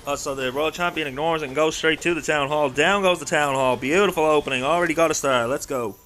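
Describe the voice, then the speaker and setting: A man's voice: a little high, quick pace, a bit bright, slight background noise. An Irish man hosting a livestream, giving chatty live game commentary and talking directly to his viewers. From Ireland. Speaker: livestream host and esports caster.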